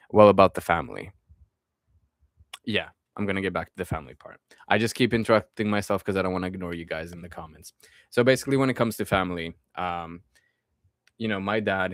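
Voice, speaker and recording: A young man in his twenties with a low voice chats casually on a live stream, answering viewers' comments and then returning to his topic. His delivery is easygoing and friendly, with plenty of trailing fillers. The sound is dry and close, with almost no room echo. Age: 20s. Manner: reads viewer comments aloud and answers them, drifting back to his topic.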